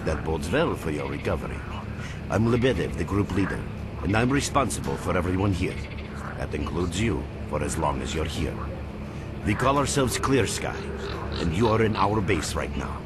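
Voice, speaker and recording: Under heavy background noise speaks a grave, middle-aged leader of a survivor band. His voice is low and moves widely in pitch, with a measured, authoritative delivery. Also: big pitch swings. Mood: welcoming, steady. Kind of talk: video game character acting. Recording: heavy noisy ambience behind the voice.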